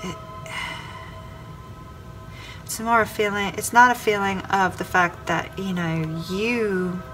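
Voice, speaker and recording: A woman's voice: medium pitch, fairly even intonation, slow, fairly bright tone, rough recording. A woman giving a weekly tarot reading, speaking straight to the viewer by star sign and circling back over her phrases. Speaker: tarot reader. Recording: clear background music under voice. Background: music playing under the voice.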